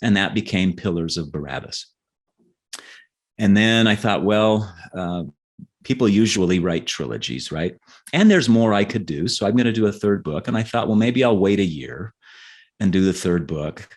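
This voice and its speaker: A middle-aged man giving conversational interview answers as a guest author, telling his story haltingly and repeating words as he thinks. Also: low voice, animated. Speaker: guest novelist.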